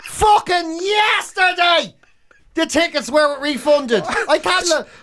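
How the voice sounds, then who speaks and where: High-pitched Irish man, exasperated and sweary. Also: slow pace, sharp tone. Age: middle-aged. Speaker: comedy podcast co-host. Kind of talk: bantering comic rant, casual chat.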